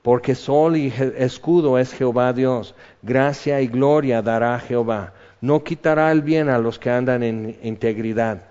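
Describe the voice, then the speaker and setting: A man's voice: medium pitch, measured pace, hardly any pauses, a little mellow, smooth. A man preaching a sermon in a conversational way, reading psalm verses aloud. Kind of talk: sermon, Bible teaching. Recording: dull reverberant hall recording.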